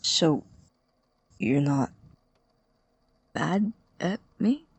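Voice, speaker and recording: A man acting in an audio drama as an awkward, earnest, apologetic college student who stammers and speaks softly. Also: fairly high pitch, animated, very slow, no room sound, exaggerated voice. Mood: tentative, tender. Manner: hesitant, stammering, soft and breathy when sincere.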